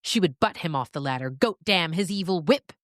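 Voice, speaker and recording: Low-voiced woman narrating a dramatic story. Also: expressive, brisk, no echo, studio quality. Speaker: narrator of a fiction podcast.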